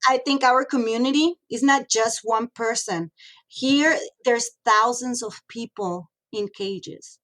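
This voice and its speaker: A woman's voice: on the high side, slow, a bit bright. A woman in her thirties, originally from Mexico, talking frankly in a casual interview, with many fillers and restarts. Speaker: guest, radio host and model.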